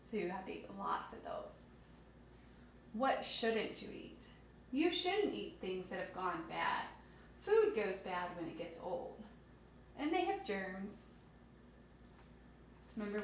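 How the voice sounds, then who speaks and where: A woman reading aloud to young children as a teacher, expressively explaining things simply and putting questions to her listeners. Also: mid-range voice. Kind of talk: children's read-aloud lesson with asides. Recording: very echoey room with faint noise.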